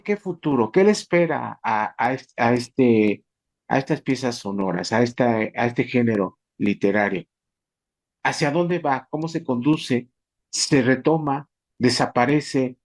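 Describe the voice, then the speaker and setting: A man hosting a panel, putting questions in a halting, searching way. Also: mid-range voice, a little mellow. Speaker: host, moderator. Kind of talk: panel interviewing.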